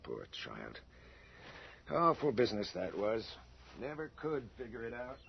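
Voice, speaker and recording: An actor playing a hesitant, folksy small-town police sergeant with a drawl in a radio mystery. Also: male voice, medium pitch, wildly swooping pitch, measured pace, creaky, heavy background noise, exaggerated voice. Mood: regretful. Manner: hesitant, drawling, rustic speech. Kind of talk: old-time radio mystery acting. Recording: muffled old radio broadcast.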